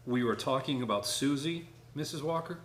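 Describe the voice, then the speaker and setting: A woman with a mild, hesitant manner playing a polite, apologetic gentleman in a radio play. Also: a bass voice, normal speed. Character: polite, apologetic, well-meaning gentleman neighbour. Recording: roomy, echoing sound.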